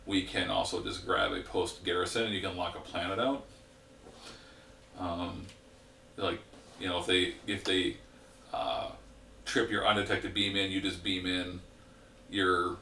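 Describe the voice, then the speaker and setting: A man hosting a gaming channel, explaining a card game deck in a rambling, relaxed way with a congested voice that is giving out. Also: sits low, vocal fry, echoey room, distant mic, slight background noise.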